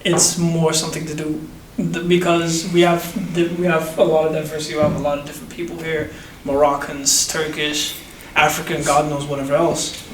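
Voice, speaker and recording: A nineteen-year-old man hosting a casual group podcast, relaxed and laughing easily, with many fillers. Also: on the high side, a little fast, hardly any pauses, a little hiss or hum. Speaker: podcast host. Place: room shared with three guests. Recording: echoey room, mic at a distance, guitar music.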